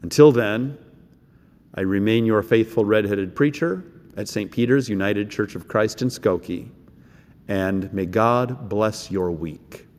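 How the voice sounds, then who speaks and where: A middle-aged or older American man preaching as a pastor, measured and deliberate, with pauses and rhetorical questions. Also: fairly low pitch, darkish tone. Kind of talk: sermon. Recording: echoey church hall with long reverb.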